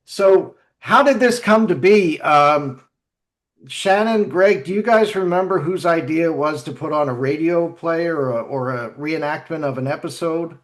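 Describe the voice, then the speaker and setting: Male podcast host: upper-mid pitch, casual delivery, enthusiastic and appreciative. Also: unhurried. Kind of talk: casual podcast hosting, introducing guests.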